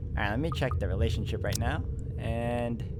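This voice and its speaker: A man's voice: mid-range voice, a little fast, very noisy. A man reading a visual novel aloud as a gameplay host, narrating dramatically with casual asides.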